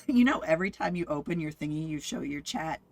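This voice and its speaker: A woman's voice: low voice, expressive, fast, gravelly, a little hiss or hum. A woman in her thirties chatting playfully on a game stream, teasing and giggling. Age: 30s. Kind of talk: casual game-stream chat.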